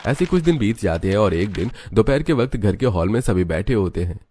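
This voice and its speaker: A man's voice: lowish voice, expressive, a little fast, mellow, a little hiss or hum. A man narrating a scripted cartoon story.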